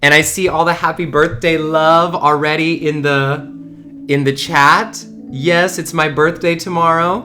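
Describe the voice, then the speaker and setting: A man's voice: on the high side, fairly even intonation, measured pace, pushed and bright, faint music behind. A man in his early thirties hosting an informal online spiritual community gathering, talking effusively and repeating words for emphasis. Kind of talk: informal livestream talk to a community.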